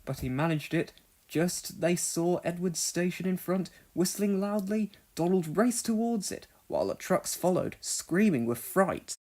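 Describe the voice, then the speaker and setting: A storybook narrator of middling pitch reads for children. The delivery is expressive and deliberately phrased, with wide, animated intonation.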